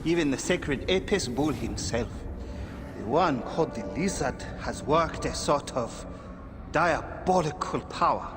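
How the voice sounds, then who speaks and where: A troubled nobleman speaks dramatically, his pitch rising and falling widely. A long reverb hangs on his voice. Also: expressive, unhurried, music in the background. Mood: brooding. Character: troubled male provincial nobleman. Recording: reverberant game audio.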